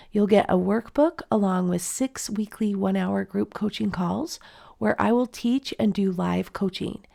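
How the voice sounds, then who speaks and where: A woman's voice: medium pitch, gravelly, warm tone. A middle-aged woman hosting her podcast and reading announcements, stuffy from a cold, upbeat and encouraging. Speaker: podcast host and life coach. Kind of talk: scripted podcast intro and announcements.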